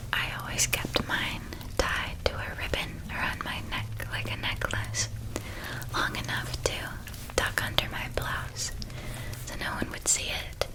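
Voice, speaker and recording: A woman playing a caring, playful elder witch sister in an ASMR roleplay, speaking in a soft, breathy whisper with a gentle, reassuring manner. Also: natural voice, low-quality recording.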